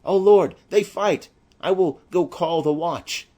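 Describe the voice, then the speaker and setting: Guy doing scared young page boy, anxious, bit husky, old tragedy audiobook. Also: high voice, slow, warm tone, pitched above the performer's own voice. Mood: alarmed. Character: timid young male page, fearful. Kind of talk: classical tragedy audiobook acting.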